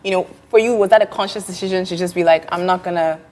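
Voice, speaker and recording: A woman's voice: fairly low pitch, steady tone, fast, a little mellow. A woman with a Nigerian accent hosting a casual panel talk show.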